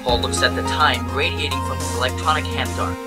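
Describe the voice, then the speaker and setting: A man's voice: medium pitch, steady tone, brisk, sharp tone. A man narrating an amateur cartoon in a dramatic storytelling manner. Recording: music bed under the voice.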